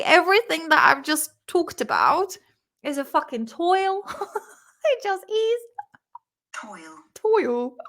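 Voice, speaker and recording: Bright-voiced Czech woman vlogging. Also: high voice. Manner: chatty, swears freely, laughs often; sounds words out slowly and repeats them. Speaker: vlog host. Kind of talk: casual study-along vocabulary vlog.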